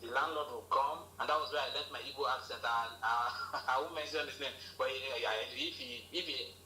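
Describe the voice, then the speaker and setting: Animated, bright-voiced Nigerian man. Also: medium pitch, brisk, reverberant, a little hiss or hum, rough recording. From Lagos, Nigeria. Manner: animated, repeats words, laughs often. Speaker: guest. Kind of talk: personal storytelling in an interview.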